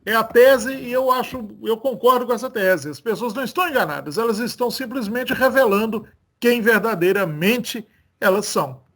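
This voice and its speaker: Man, Brazilian accent, high-pitched, earnest, argumentative host. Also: moderate pace, fairly bright tone. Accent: Brazilian Portuguese. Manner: earnest, argumentative, builds his points. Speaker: host of political commentary show. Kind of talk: casual political commentary.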